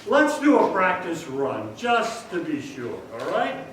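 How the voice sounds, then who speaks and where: A man's voice: high-pitched, sing-song, slow, exaggerated voice. A man playing an upbeat, theatrical 1940s radio show announcer, welcoming a live audience as host. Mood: coaxing, upbeat. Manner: theatrical, showy, addressing the audience. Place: stage of a performing arts hall. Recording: echoey hall from far off, faint noise.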